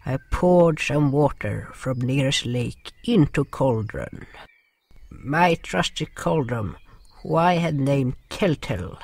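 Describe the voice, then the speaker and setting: A man playing a gentle, caring healer with water powers in a fantasy audio drama, speaking in slow, formal, slightly stilted phrases. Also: mid-range voice, expressive, gravelly, exaggerated voice. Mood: solemn storytelling. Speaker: narrator and lead character. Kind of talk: first-person fantasy audio drama narration and dialogue. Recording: music behind the voice.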